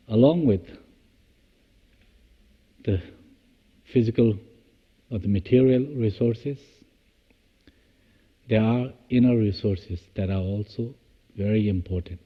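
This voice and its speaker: A man guides a meditation in a lowish, husky voice. He moves in measured short phrases with long pauses between them. The recording is dull and muffled. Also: big-room sound. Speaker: meditation teacher.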